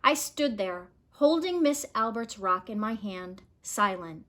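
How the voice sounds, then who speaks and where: Bright-voiced woman reading a storybook, slowly. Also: mid-range voice. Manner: expressive, measured storytelling. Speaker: read-aloud storyteller. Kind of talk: scripted children's picture book narration.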